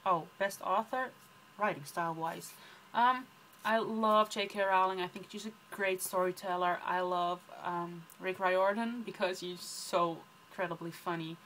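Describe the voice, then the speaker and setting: A woman's voice: fairly low pitch, fairly even intonation, a little slow, fairly bright tone, a little hiss or hum, rough recording. A young woman from the Netherlands with a Dutch accent, chatting casually through a book vlog tag with lots of ums and rambling asides. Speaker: book vlogger. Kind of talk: casual chat answering tag questions.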